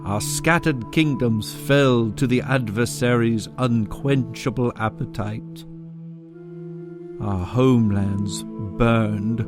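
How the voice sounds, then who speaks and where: An actor playing a grave storyteller of an exiled people, narrating a dramatic audio prologue with deliberate delivery. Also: male voice, medium pitch. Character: solemn storyteller of an exiled fairy-tale people.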